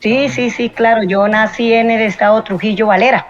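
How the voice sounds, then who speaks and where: A woman's voice: fairly high pitch, steady tone, moderate pace, muffled recording, slight background noise. A woman in her forties from Venezuela, speaking as an interview guest in a hesitant, thoughtful way with many fillers. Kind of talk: personal interview.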